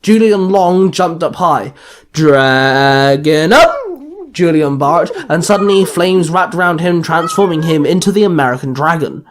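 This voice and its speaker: A man's voice: on the high side, normal speed, few pauses, slight background noise. A man reading out scripted action fiction as a narrator, now and then stumbling over a word and correcting himself. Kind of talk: scripted story narration with acted dialogue.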